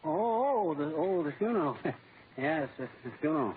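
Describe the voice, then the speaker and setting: Henpecked husband, radio comedy: high, swooping voice, meek, whiny and stammering, on a tinny old broadcast. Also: male voice, big pitch swings, moderate pace, a little hiss or hum. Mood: awkward, flustered. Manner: pleading, stammering, exasperated. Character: flustered, whining henpecked husband and meek office clerk.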